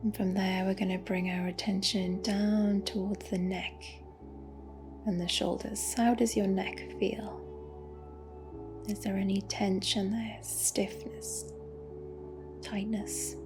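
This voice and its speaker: A woman leads a guided meditation gently and soothingly, in a soft, breathy voice that often drops close to a whisper. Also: medium pitch, level tone. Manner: gentle, unhurried, with long pauses. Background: music.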